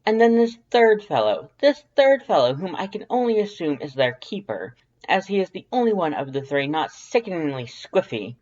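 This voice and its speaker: A woman's voice: lowish voice, big pitch swings, normal speed, darkish tone, lo-fi sound. A woman voicing a prissy, verbose male skeleton in an audio drama, with a formal, grandiose, carefully enunciated delivery. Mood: animated, playful. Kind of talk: fan fiction audio drama acting.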